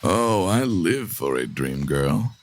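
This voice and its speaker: An actor playing an amused, smitten lowlife plotting murder in an old-time radio comedy drama, with a flippant, effusive manner. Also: male voice, big pitch swings, takes it slowly, full character voice.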